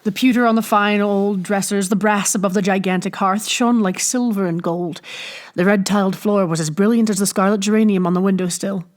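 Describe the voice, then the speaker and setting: A woman's voice: medium pitch, a little mellow. Woman narrating a classic novel. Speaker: audiobook reader. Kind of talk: expressive scripted storybook narration.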